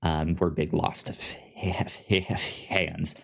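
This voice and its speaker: A man's voice: sits low, little pitch movement, takes it slowly, creaky. Stammering man hosting a podcast. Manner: stammers, repeating sounds and words. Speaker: podcast host. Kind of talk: casual podcast chat.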